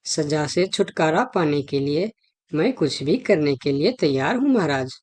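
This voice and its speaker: Woman voicing a low-pitched little cartoon animal. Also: animated, normal speed, a little mellow. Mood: anxious, deferential. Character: small polite talking forest animal: fox, rabbit, monkey. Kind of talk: Hindi children's cartoon dialogue.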